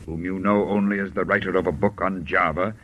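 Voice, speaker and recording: A man acting a mysterious, controlling occultist in a vintage radio drama, speaking with formal, measured courtesy. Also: low-pitched, steady tone, muffled recording. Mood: suavely courteous. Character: mysterious male occultist, courteous, calmly controlling, secretive master. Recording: noisy old radio broadcast recording.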